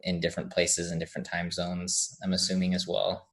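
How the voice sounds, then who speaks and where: A man hosting a casual interview, speaking informally and in halting phrases. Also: low-pitched, completely flat delivery, vocal fry, fairly bright tone. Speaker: podcast host interviewing a guest.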